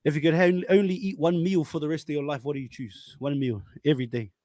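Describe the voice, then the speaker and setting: A young man hosting a casual podcast interview, slangy, animated and quick to laugh. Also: fairly high pitch, no room sound, studio quality. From New Zealand. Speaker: podcast host.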